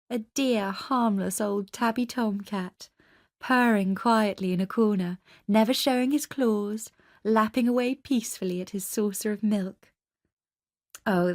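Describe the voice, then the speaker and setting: Expressive woman reading a story, dry studio sound. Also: mid-range voice, no room sound. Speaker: short story reader. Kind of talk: scripted dramatic narration of literary fiction.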